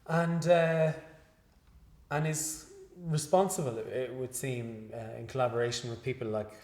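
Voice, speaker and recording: A male researcher giving an interview after a lecture, speaking in a measured, hesitant way with many fillers and restarts. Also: medium pitch, steady tone, darkish tone. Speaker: guest researcher. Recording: echoey room.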